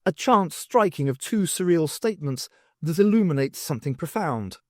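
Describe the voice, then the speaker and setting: A man reading a science fiction novel aloud as scripted, expressive audiobook narration. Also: on the high side, moderate pace, no room sound, clean studio sound. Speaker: audiobook reader.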